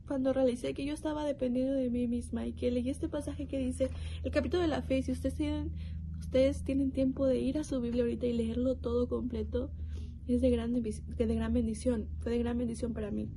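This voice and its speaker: A young woman from Mexico, sharing an earnest, reflective personal faith testimony with pauses and restarts. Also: high-pitched, flat delivery, mellow, slight background noise, poor audio. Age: young adult. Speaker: youth program speaker.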